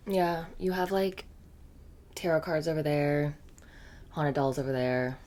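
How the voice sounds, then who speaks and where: A woman playing a bored, indifferent gift-shop clerk in a soft-spoken ASMR roleplay, offhand and deadpan. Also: low-pitched, unhurried. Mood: bored, dismissive.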